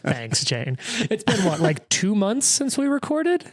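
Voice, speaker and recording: A man's voice: high voice, huge pitch swings, measured pace. A man in his thirties, the game master, chatting casually in a rambling, self-deprecating way with lots of quoted asides and restarts. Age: 30s. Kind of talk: casual chat at the gaming table.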